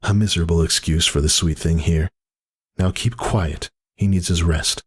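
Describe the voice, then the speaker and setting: A man's voice: sits low, fairly even intonation, moderate pace, husky, voice pushed up. A man voicing a weary but defiant pegasus mother, speaking earnestly in a dramatic story reading. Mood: weary, firm. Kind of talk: dramatic fan fiction reading.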